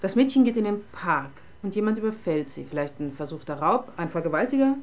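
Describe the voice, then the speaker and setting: A woman's voice: on the low side, expressive, brisk, close to an everyday voice. An actress playing a sardonic, businesslike female police inspector in charge, in a German radio crime drama.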